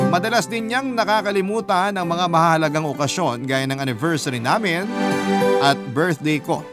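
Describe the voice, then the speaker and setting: A Filipino man reading aloud as a young woman confiding her love story in a measured radio-drama manner. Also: a little high.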